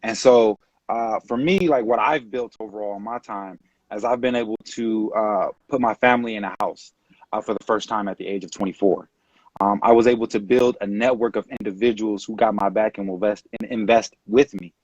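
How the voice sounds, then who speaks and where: A man in his late twenties from California, talking casually as an interview guest on a video call, relaxed and rambling with many fillers. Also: fairly low pitch, a little fast, dry recording. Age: late 20s. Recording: dull dry video-call audio.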